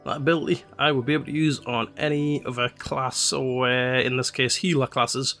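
A man's voice: medium pitch, normal speed, faint music behind. Man commentating a game, slightly stuffy nose. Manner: relaxed, rambling, with frequent "uh" fillers. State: slightly congested nose from a cold. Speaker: let's play host. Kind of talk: casual gameplay commentary.

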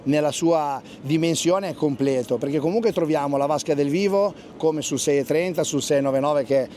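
A man's voice: fairly high pitch, fairly quick, mellow, slight background noise. Enthusiastic man at a marina. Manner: enthusiastic, repeats "assolutamente". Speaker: fishing guide. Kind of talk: interview at a boat show. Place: outdoor marina at a boat show.

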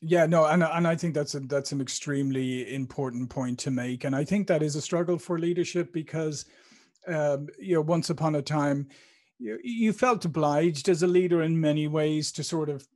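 A man in his fifties with an Irish accent hosts a video interview, agreeing warmly and thinking aloud with restarts into a podcast mic. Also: fairly high pitch, normal speed. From Ireland. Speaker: interview host. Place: home studio with branded backdrop. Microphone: podcast microphone on stand.

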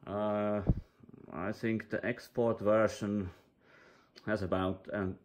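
A man giving an informal hands-on demonstration of radio equipment, in halting, fragmented phrases. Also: fairly low pitch, darkish tone. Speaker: presenter of a radio equipment channel. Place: indoors at a table. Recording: noisy, with hiss and radio static.

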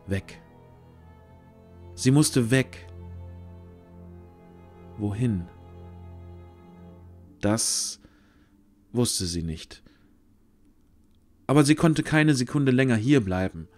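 A man narrating a scripted fantasy audio drama, using dramatic pauses. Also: medium pitch, animated, darkish tone. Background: music.

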